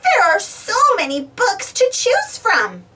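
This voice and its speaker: A woman voicing an excited little girl dinosaur for a storybook, squealing and eager. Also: very high voice, slow pace, distant mic, slight background noise, voice pushed up, heavily acted. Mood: wistful.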